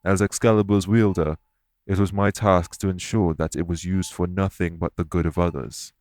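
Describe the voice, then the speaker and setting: An actor playing a courteous, remorseful immortal elven king in an audio roleplay, speaking in formal, measured phrases. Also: low voice, fairly even intonation, darkish tone, no room sound, close to an everyday voice. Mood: calm, earnest. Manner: formal, measured, stately wording. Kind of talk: scripted audio roleplay acting.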